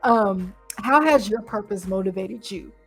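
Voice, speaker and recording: Brief: woman host, warm-toned encouragement, reflective casual chat, faint music under. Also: medium pitch, unhurried, creaky, breathy edge, poor audio. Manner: reflective and encouraging, frequent affirming "mm-hmm". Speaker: podcast host. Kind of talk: casual podcast conversation.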